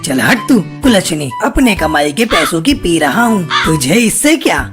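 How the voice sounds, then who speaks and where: A woman's voice: on the low side, big pitch swings, a little slow. A woman voicing a bitter, scornful drunken crow husband in a cartoon. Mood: angry scornful. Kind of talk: Hindi cartoon voice acting. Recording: loud music behind the voice.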